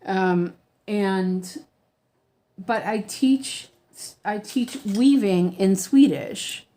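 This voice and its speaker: A woman's voice: medium pitch, extremely slow, dark tone. An American woman, a weaving teacher, chatting casually as she stitches, with lots of ums, restarts and easy laughter. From United States. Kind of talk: casual stitch-along chat.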